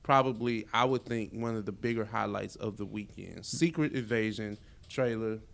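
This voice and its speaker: A man's voice: fairly low pitch, moderate pace, vocal fry, fairly bright tone. An American man co-hosting a podcast, chatting casually and slangily about movie trailers and entertainment news. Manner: slangy, conversational, says "man" and "you know" often. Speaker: podcast co-host.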